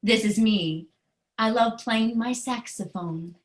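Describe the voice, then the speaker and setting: A woman who is a children's author, reading aloud and explaining in a deliberate, earnest way with simple phrasing. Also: on the high side. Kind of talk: storybook read-aloud and explanation.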